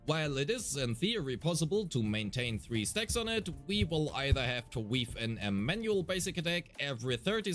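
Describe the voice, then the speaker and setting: A man narrates a detailed game guide over background music. His mid-pitched voice moves in lively swings as he explains methodically, with a slightly wry edge.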